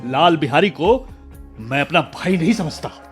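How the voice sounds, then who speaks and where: A principled young husband in a radio drama. His voice is high for a man and very animated, earnest and emphatic, with light music underneath. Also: a little slow. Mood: firm indignation. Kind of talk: Hindi radio drama acting.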